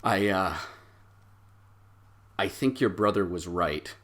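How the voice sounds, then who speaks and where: A man plays a shaken clown in a tabletop horror game. His voice sits on the low side, forceful in tone, and he speaks haltingly and hushed, like someone giving a grave warning.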